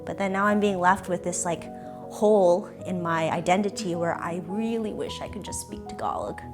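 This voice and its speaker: A young Canadian woman sits for an interview and answers in a thoughtful, hesitant way, pausing often to find her words. Her voice sits on the low side for a woman, and soft music plays faintly behind her. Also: animated. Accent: Canadian English. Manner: thoughtful, hesitant, with many pauses and restarts. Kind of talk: reflective personal interview.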